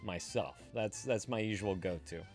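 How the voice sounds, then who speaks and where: A man's voice: on the low side, moderate pace, a little mellow, dry recording, soft background music. A man from the Bay Area hosting a livestream in casual chat, playful and teasing, laughing often and swearing casually. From California, Bay Area. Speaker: livestream host.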